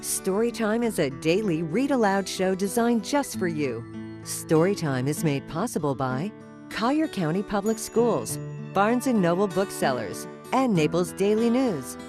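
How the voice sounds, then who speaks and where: Lively woman announcer over music. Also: medium pitch, a little slow. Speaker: show host, announcer. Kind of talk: scripted announcement for children. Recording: music playing under the voice.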